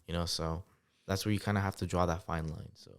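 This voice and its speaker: A man in his twenties, a guest entrepreneur, telling stories in a relaxed, casual podcast interview with lots of fillers. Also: low-pitched, brisk, clear, resonant tone. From United States, Salvadoran family. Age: 20s. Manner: relaxed storytelling with many fillers.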